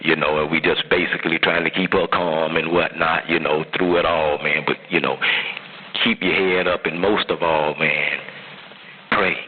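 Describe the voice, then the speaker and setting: A man's voice: extremely low pitch, steady tone, normal speed. A middle-aged man talking casually on a phone call, giving avuncular advice and repeating himself for emphasis. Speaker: uncle advising his jailed nephew. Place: phone line. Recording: muffled, noisy recorded jail phone call.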